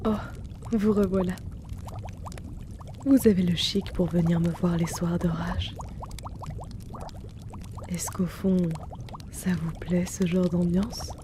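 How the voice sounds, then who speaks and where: A woman voicing a timid, gentle male herbalist in an ASMR roleplay, speaking softly and soothingly. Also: on the low side, fairly even intonation, background noise. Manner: soft-spoken, slow and soothing. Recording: rain and storm ambience, pouring liquid.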